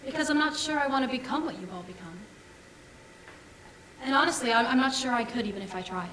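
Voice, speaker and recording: A woman's voice: high voice, animated, fast talker, fairly bright tone, recorded from a distance, a little hiss or hum. A woman on stage playing a wary, skeptical geneticist, speaking in a guarded, hesitant way. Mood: wary, subdued. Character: wary, skeptical pioneering geneticist woken from cryo-sleep. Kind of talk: staged musical reading, stage acting. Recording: echoey theatre stage.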